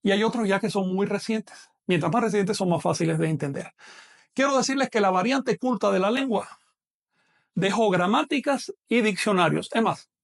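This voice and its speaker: A man with a non-Mexican Spanish accent gives an online language lesson. His voice sits high and carries a gravelly rasp. He explains step by step and often checks in with his listeners. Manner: explanatory, addresses students directly with check-in questions. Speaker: language teacher. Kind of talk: online lecture.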